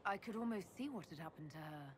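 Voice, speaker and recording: A woman playing a composed, level-headed leader in a fantasy video game, speaking in a measured, direct way. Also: lowish voice, lively intonation, a little hiss or hum, close to an everyday voice. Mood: curious. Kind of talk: dramatic video game voice acting.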